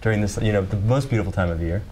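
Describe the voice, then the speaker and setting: A man's voice: lowish voice, racing, darkish tone, slight background noise. A man from Los Angeles, an opera singer, explaining his role articulately in a studio interview. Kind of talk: interview answers.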